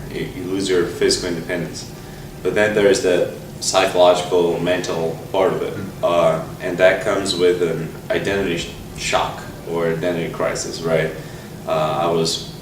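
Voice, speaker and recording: Young brazil-born guy, very deep voice, far off mic in a roomy noisy space. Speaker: interview guest. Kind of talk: telling his personal story. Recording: distant, roomy with background noise.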